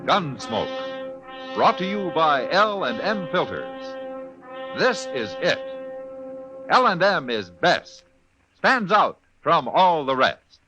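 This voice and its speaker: Male announcer, American, dramatic old-time radio delivery, lively pitch swings, muffled recording with music behind. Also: slow, long pauses.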